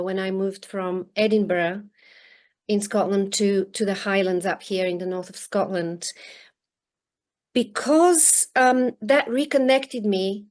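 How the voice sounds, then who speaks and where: A woman in her forties, from Bulgaria, giving thoughtful interview answers over a video call, pausing often with ums and restarts. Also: medium pitch, a little mellow. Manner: halting, many restarts and ums. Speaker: guest author. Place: home in rural northern countryside.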